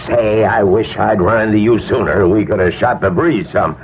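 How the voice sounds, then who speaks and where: An actor playing a falsely hearty, evasive business executive with breezy, slangy, hemming speech in a 1970s radio drama. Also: male voice, fairly low pitch, normal speed, close to an everyday voice. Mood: breezy, guarded. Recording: tinny, slightly noisy 1970s radio.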